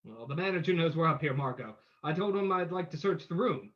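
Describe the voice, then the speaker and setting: A woman playing a composed, courteous gentleman sleuth in an old-time radio drama reading. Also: sits low, animated, fairly quick. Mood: composed, probing. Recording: muffled, lo-fi sound.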